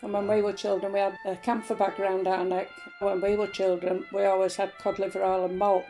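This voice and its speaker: A woman's voice: medium pitch, a little fast. An older woman, fondly telling childhood anecdotes as a former mill worker. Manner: fond, lively anecdote telling. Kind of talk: interview reminiscence. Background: violin music.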